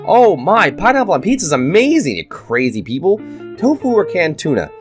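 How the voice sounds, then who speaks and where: This is a YouTuber speaking through a congested, stuffed-up nose. The host reads quiz questions and answers them in a chatty, opinionated way, with a lively, swooping pitch. A faint plucked guitar tune plays softly underneath. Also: fairly quick. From Canada. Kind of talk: casual chat, reading quiz questions aloud and commenting.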